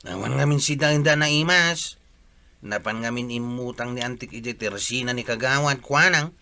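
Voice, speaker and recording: A man's voice: mid-range voice, normal speed, bright, forceful tone. A man with an Ilocano accent acting a nosy, grumbling nephew in a village comedy drama. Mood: complaining.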